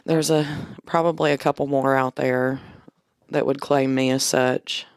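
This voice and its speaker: A woman with a deep voice co-hosting a podcast, chatting in a laid-back way and chuckling as she tells stories. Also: fairly even intonation, measured pace, clear, resonant tone, no echo, clean studio sound. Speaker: podcast co-host. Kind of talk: casual chat. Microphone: studio podcast microphone.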